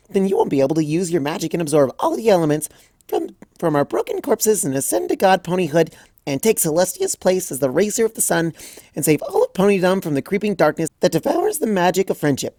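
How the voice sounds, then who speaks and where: A woman voicing a hyper, bubbly pony mare who chirps and rambles quickly. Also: lowish voice, a little mellow, no room sound, clean studio sound, pitched above the performer's own voice.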